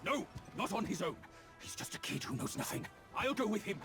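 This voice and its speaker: Hot-headed game pig-man sidekick: high voice, blunt, impatient, wildly animated intonation. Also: fairly quick. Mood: protective, pleading. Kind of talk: dramatic video game dialogue. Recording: music behind the voice. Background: game score music.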